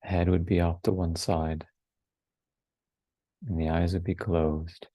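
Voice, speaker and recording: A man in his forties leading a guided meditation in a hushed, unhurried way, with long pauses between short phrases. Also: sits low, level tone, no room sound. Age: 40s. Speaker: meditation teacher. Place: online retreat over video call, dry close sound.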